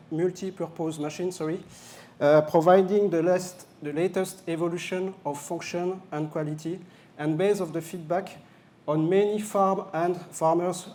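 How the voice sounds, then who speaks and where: Pria beraksen Prancis, suara lembut. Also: male voice, fairly high pitch, steady tone, moderate pace, mellow. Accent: French. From France. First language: French. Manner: joking, hesitant with fillers. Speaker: product manager presenting at a launch event. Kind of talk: live stage presentation. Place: event venue.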